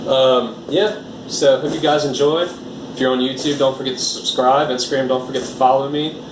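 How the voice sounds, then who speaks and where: A man's voice: mid-range voice, steady tone, moderate pace, distant mic, noisy background. A man from the United States chats loosely through an unboxing, full of "uh"s and restarts. His voice sits back from the mic in a roomy, echoing space. An air conditioner hums steadily behind him. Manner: rambling, filler-heavy, easygoing. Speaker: art vlogger. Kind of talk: casual unboxing chat. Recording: echoey room, voice off-mic.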